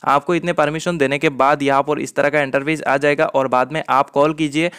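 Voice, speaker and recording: A man's voice: fairly high pitch, steady tone, fairly quick, fairly bright tone, clean tone. A man hosting a tech tutorial, giving scripted, instructional step-by-step narration with repetitive phrasing. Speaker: tech tutorial video host.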